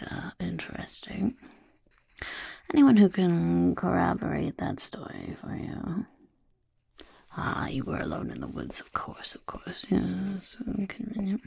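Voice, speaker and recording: Woman playing a sly goblin interviewer, hushed husky fry, slow and low. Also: very animated, no room sound, full character voice. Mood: sly, whispery. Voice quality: gravelly whisper. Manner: refers to itself in the third person, sly and skeptical. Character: sly scheming goblin recruiter, self-important. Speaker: ASMR performer. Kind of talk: soft-spoken ASMR roleplay.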